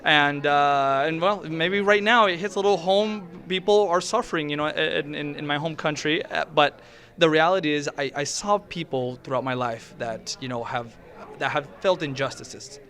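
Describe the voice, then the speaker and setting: A man's voice: on the high side, moderate pace, hardly any pauses, fairly bright tone. A middle-aged man, an actor talking earnestly and emotionally at an indoor red carpet interview, often restarting his words. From Venezuela.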